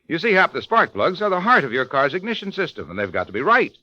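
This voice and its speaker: An American man announces and sells in 1950s radio style. His pitch sits fairly high and swings widely. The old recording sounds muffled, with faint music behind. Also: sing-song, fast, pushed and bright, no room sound.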